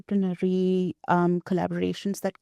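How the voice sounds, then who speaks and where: Woman from India, non-native English, measured and reflective guest on a dry-miked podcast. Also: lowish voice, monotone, creaky, dark tone, clean tone, no room sound. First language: not English. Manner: thoughtful, many ums. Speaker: guest researcher. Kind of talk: casual podcast conversation.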